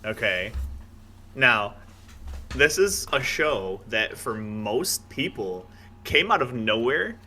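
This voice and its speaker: A man with a very bright, punchy voice hosts a casual podcast discussion over faint background noise. He steers the talk emphatically, with a playful streak. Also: mid-range voice, expressive, slow. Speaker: podcast host.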